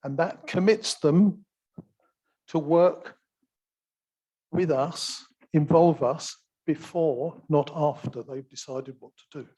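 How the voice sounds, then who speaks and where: A soft-spoken man giving an informal talk at a community meeting, unhurried and gently wry. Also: on the high side, poor audio. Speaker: assembly action group member speaking at a community meeting. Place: theatre function room, live event.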